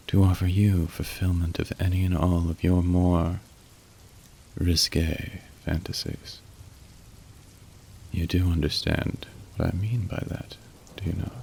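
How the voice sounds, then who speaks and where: A man plays a demon phone operator for an ASMR roleplay, very low and gravelly. He is courteous and wry, sliding between suggestive polish and awkward apology, and his delivery stays narrow in pitch while rain falls behind him. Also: a little mellow, exaggerated voice. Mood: suave, formal, suggestive. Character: suave, awkward young male incubus working a phone line. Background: falling rain.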